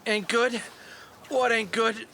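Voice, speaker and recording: An actor playing a hapless but determined adventurer in an audio drama, wry, grumbling and quick to exclaim. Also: male voice, very high voice, fairly even intonation, creaky, pushed and bright, slight background noise, heavily acted. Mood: resolute. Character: hapless but determined male fedora-wearing adventurer hero.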